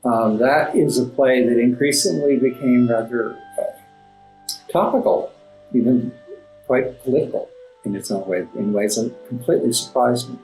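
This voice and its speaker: A man giving conversational interview answers as a guest playwright, articulate and formally worded, often halting and repeating words for emphasis. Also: mid-range voice, darkish tone. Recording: roomy echo, music underneath. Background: classical background music.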